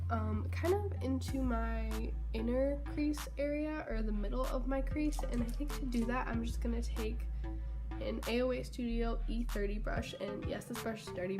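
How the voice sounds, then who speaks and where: A young woman with a congested, cold-worn voice chatting casually through a makeup tutorial, often trailing into soft half-whispers. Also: on the high side. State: congested, losing her voice from a cold. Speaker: beauty vlogger. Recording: music playing underneath.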